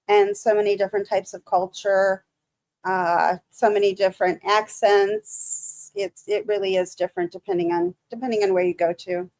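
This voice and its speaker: A woman with a light Michigan accent talks to her livestream viewers at a mid pitch. She speaks haltingly, with frequent fillers and restarts. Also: darkish tone. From Michigan, USA. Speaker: livestream host. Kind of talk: casual livestream chat with viewers.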